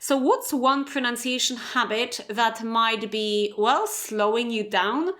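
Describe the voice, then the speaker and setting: A woman's voice: fairly high pitch, takes it slowly, a bit bright. A woman from Poland with a Polish accent, an English teacher talking through a solo podcast in a chatty way, often checking in with "right?" and "okay?". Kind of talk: solo educational podcast monologue.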